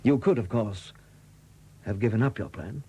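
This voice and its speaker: An actor in a 1970s British radio drama playing a composed, probing chief superintendent who questions in a measured way. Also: male voice, on the low side, expressive, mellow, husky.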